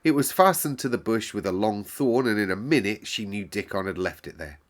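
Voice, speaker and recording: A man reading a classic children's novel aloud in a calm, measured storyteller's way. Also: lowish voice.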